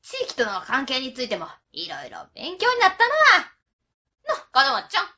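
A woman's voice: high voice, wildly swooping pitch, moderate pace, sharp tone, full character voice. A woman playing a cheery, brash middle-aged auntie with a Kansai accent and a breezy, familiar manner in a radio comedy. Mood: chatty. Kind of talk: comic radio drama acting.

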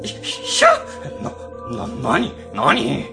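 A cowardly middle-aged section chief, acted by a man in a comic radio drama, his pitch leaping all over. The voice is highish and gravelly, stammering and theatrical. Faint music plays behind him. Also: very slow, heavily acted. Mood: panicked pleading. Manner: stammering, excitable, exaggerated frightened delivery. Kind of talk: comedic web radio drama acting.

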